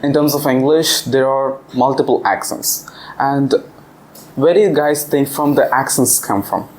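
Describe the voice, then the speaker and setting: A man's voice: medium pitch, measured pace, a little mellow, a little hiss or hum, poor audio. A man leading a discussion with an English speaking club, asking the students questions. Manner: asks the class questions. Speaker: visiting English teacher. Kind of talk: classroom discussion.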